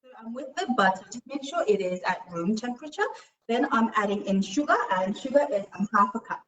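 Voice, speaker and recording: A young adult woman from Australia, a little higher-pitched than most, walks through a recipe in her home kitchen. She explains calmly and fills her pauses with "um". The mic sits away from her, so the room rings around her voice. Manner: explanatory, with frequent "um" fillers. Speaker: cooking show presenter. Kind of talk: casual cooking demonstration. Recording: roomy, distant mic.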